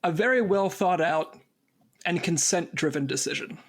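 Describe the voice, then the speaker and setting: A man's voice: high-pitched, animated, moderate pace. A man bantering casually as an interview guest, with a wry, playful way of talking.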